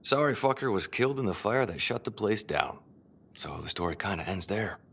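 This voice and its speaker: An actor playing a cynical, foul-mouthed homicide detective in a video game, blunt and scornful in manner. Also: male voice, on the low side, animated, fairly quick, creaky, fairly bright tone, breathy edge, heavily acted. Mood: cynical, dismissive. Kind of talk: video game cinematic acting.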